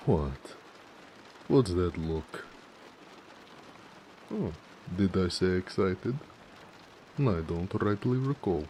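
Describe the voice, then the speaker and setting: Casting: male actor as a tender, shy orc blacksmith for romance audio, very low and dark-toned, hesitant, homely. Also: animated, slight background noise, full character voice. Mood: teasing. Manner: soft-hearted, hesitant, with pauses and hedging. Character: gentle, bashful, affectionate male orc blacksmith, homebody. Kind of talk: intimate romantic audio roleplay acting.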